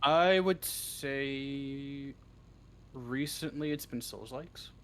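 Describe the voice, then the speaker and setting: A young man in his early twenties speaks in an average-pitched voice. He chats casually as an interview guest, joking and swearing freely, and often stumbles into his sentences before finishing them. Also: clean tone. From Canada. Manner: joking, sweary, halting starts. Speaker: interview guest, script writer. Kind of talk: casual chat.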